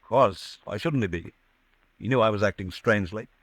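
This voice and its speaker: A man acting a haunted, guilt-ridden man in an old-time radio drama, grave, halting and intense. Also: fairly low pitch, fairly bright tone, dry recording. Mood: defensive. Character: haunted, guilt-ridden man convinced of his own crime. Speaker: radio actor.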